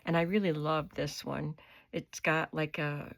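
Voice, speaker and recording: An older woman with a cold and a hoarse voice, chatting unhurriedly as she shows her craft supplies in her home studio. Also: low voice, fairly even intonation. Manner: chatty, unhurried, describing items up close. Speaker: craft vlogger. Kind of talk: casual show-and-tell haul.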